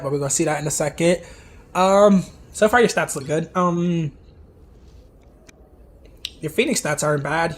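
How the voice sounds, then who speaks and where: A man with a fairly high, husky voice gone hoarse and worn out talks through game replays on a live stream. He chats loosely with his viewers, restarting sentences and wandering into asides as he goes. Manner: chatty, talks to chat directly, many asides and restarts. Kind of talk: casual live-stream commentary.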